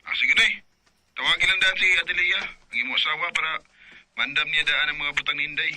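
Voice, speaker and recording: A man's voice: a little high, steady tone, brisk, bright, forceful tone, no echo, rough recording. A man acting a helpful younger brother in an audio drama, politely arranging plans.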